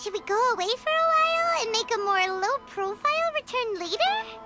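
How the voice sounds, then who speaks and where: A woman voicing a tiny, chirpy, eager floating companion in a video game, with a squeaky childlike voice and perky manner. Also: big pitch swings, normal speed. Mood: fretful, cheeky. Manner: perky, refers to self by name. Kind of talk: animated video game voice acting. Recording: loud music behind.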